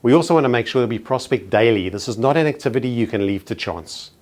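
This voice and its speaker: A man's voice: medium pitch, expressive, a little mellow. A man with a South African accent giving a motivational sales training talk to real estate agents, stressing his points in short punchy contrasts. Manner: emphatic, punchy paired contrasts, slows on key words.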